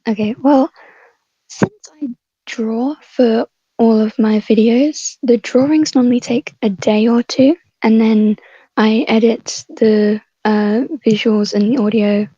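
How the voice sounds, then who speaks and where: Soft, mellow, husky higher-pitched woman, dull mic. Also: steady tone, slow pace, no echo. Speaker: voice actor and podcast panelist. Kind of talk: casual chat. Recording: dull lo-fi microphone sound.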